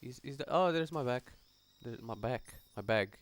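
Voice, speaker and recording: Casting: male gaming host, smooth medium-pitched voice, casual, hesitant, rambling walkthrough commentary. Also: expressive, moderate pace.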